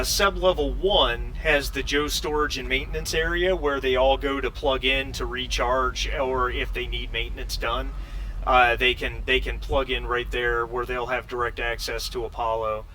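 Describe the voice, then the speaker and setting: A man narrating a tabletop roleplaying game as game master, explaining things in a halting way. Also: medium pitch, sharp tone, rough recording. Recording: faint background noise.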